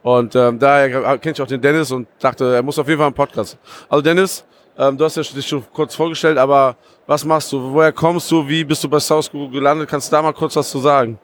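Podcast guy rambling excitedly, slangy, normal mid voice, at a trade fair. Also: medium pitch, quick pace. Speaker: podcast co-host. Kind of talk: casual chat.

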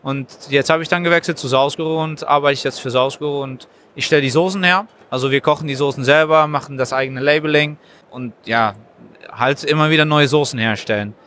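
Bright-voiced man with halting explanations. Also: a little high, slight background noise. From Germany. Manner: halting, explanatory, frequent hesitations. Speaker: sauce maker, guest. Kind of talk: casual interview. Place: trade fair stand.

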